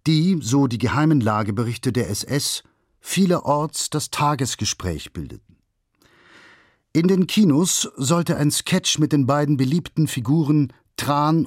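A man with a medium-pitched voice narrates a history documentary. He reads in a measured, factual manner, with formal articulation, in a very dry recording. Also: darkish tone, no echo. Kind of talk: scripted narration.

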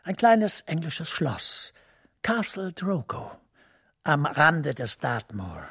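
A man's voice: a little high, very animated, takes it slowly, creaky, fairly bright tone, slightly rough, no echo, clean studio sound, heavily acted. An actor in a radio play as an officious male administration superior, speaking formally and persuasively with emphasis.

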